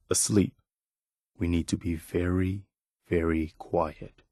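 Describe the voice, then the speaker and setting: A weary but principled militia soldier from a post-apocalyptic video game, played by a male actor. His voice is on the low side and recorded dry and close. He talks sincerely and plainly, like a loyal companion on the road. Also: dry recording, close to an everyday voice. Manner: sincere, plain-spoken, conversational.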